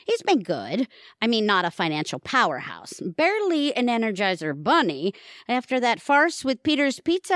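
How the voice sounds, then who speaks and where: A woman plays a brassy career woman of sixty-five in an audio drama. She is wry and sardonic, with a lively, swinging intonation. The sound is dry, with no room around her. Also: medium pitch, no echo. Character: brassy, sarcastic 65-year-old career woman and breadwinner wife. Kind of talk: audio theatre acting.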